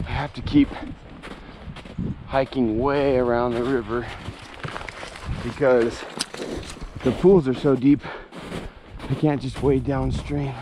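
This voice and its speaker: A young man gives chatty, excitable running commentary outdoors by a mountain stream. His voice sits at an ordinary middle pitch. Clear rushing water runs behind him the whole time, picked up by a small action camera mic. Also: background noise. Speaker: fishing channel host. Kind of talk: casual vlog narration while fishing. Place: outdoors by a snowy mountain creek.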